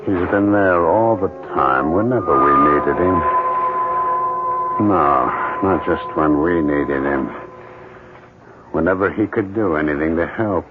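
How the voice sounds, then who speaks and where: An actor playing a brooding, suspicious, jealous husband with a troubled mind in a 1970s radio drama, speaking haltingly. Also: male voice, sits low. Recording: muffled vintage radio broadcast, faint hiss.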